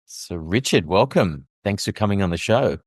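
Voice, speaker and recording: A man's voice: low-pitched, expressive, moderate pace, breathy edge, soft background music. A man hosting a podcast interview, asking curious prompting questions. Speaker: podcast host. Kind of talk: casual interview.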